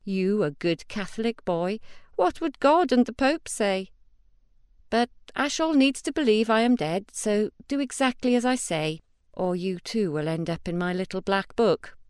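A woman's voice: medium pitch, animated, a little slow, a little mellow, smooth, no echo, studio quality, natural voice. A woman voicing a menacing middle-aged female assassin, clipped and threatening. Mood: coaxing threat.

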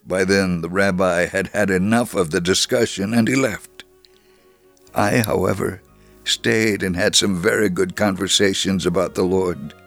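A man with a fairly low voice reads a first-person story aloud in an earnest, measured way. The sound is dry and close, with no room around it. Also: fairly even intonation, fairly bright tone, no room sound, soft background music.

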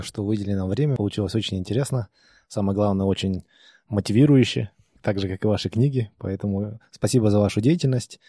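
Fast-talking podcast host with a husky voice. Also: male voice, lowish voice, dark tone, no echo, studio quality. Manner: polite, formal, brisk. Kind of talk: interview questions and show outro.

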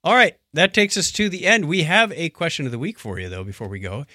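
A male podcast co-host with a bright, fairly high voice that swings widely in pitch. He chats casually in an animated, joking way, and the sound is close and dry. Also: fast talker, no echo.

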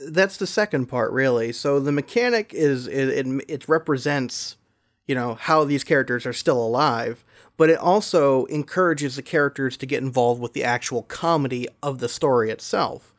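A man's voice: medium pitch, normal speed. A man speaking as an interview guest and game master, explaining in an articulate, conversational way.

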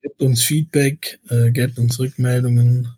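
A man's voice: mid-range voice, fairly even intonation, a little slow, mellow, breathy edge, no echo. A man with a light Swabian accent hosting a podcast in a rambling, chatty way with many hesitations. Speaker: podcast host. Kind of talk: casual chat.

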